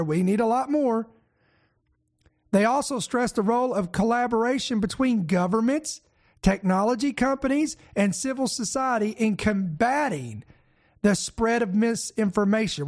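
A man with a high voice hosts a prophetic news show, sounding raspy and congested from a head cold. He delivers his commentary with emphatic, preacher-style energy, and a few fillers slip in as he goes. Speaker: host and pastor.

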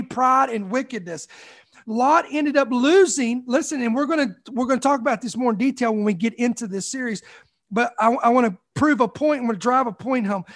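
A man in his forties preaching a sermon, emphatic, with repeated phrases and call-outs to the congregation. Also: very high voice. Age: 40s. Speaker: pastor.

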